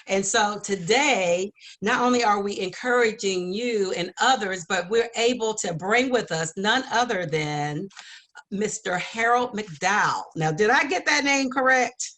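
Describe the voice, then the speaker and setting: A woman's voice: medium pitch, expressive, a little slow, a bit bright. A woman hosting an interview show in an effusive, affirming and encouraging way.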